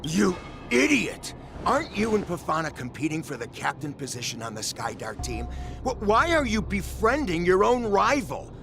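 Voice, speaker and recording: An actor playing a scheming, blustering middle-aged businessman father in a video game, with theatrical, drawn-out emphasis. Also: high-pitched, wildly swooping pitch, creaky, over music, heavily acted. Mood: indignant, scolding.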